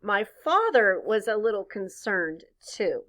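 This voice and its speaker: A woman reads a picture book aloud in a bright voice that swings widely in pitch. She takes her time and speaks expressively, stepping out of the story to engage her young listeners. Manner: expressive, pauses to point things out and ask questions. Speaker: storybook reader. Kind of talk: children's read-aloud with asides to listeners.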